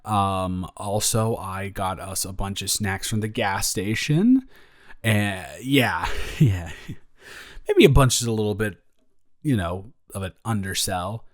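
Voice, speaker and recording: A young man playing a caring, playful roommate with a crush in an improvised audio roleplay, rambling and halting in a casual, teasing way. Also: lowish voice, lively intonation, no room sound, clean studio sound. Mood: casual, reassuring.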